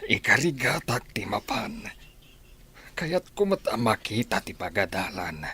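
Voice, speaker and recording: A man acts out an affable old Ilocano grandfather in a radio play. His voice is low, husky and breathy, and he speaks slowly with an eager, friendly manner. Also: very animated, bright, forceful tone, full character voice. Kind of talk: Ilocano radio drama acting.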